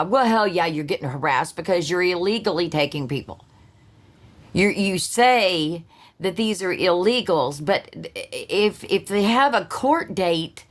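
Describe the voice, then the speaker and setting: A woman who hosts her own channel, giving a blunt, sarcastic political monologue full of profanity and restarts. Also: on the low side. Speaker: political commentary host. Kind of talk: casual opinion monologue.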